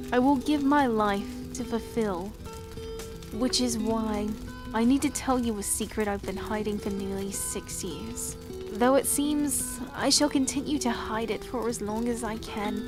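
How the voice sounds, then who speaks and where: A woman's voice: fairly high pitch, a little slow, lightly acted. A woman playing a regal young queen. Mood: earnest. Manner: formal, regal and earnest. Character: young grieving queen, dignified and resolute. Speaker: newly crowned queen. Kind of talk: fantasy audio drama acting. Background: music.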